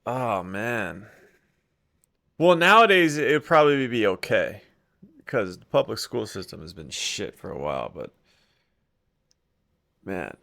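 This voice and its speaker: A man's voice: lowish voice, sing-song, slow pace, gravelly, pauses often, sharp tone. A man casually commenting over a comedy video, with offhand asides and swearing. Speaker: reaction video host.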